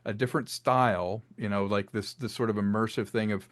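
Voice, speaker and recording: A man's voice: on the low side, fairly even intonation, fairly quick, no room sound. A man being interviewed as a filmmaker and audio drama writer, talking in a conversational, expansive, reflective storytelling way.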